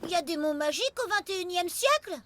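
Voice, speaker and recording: Feisty prehistoric small boy for animation, extremely high and animated, earnest, exclamatory. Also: quick pace, slight background noise. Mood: indignant. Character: feisty little prehistoric boy, stubborn, attached to his teddy. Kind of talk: animated cartoon acting.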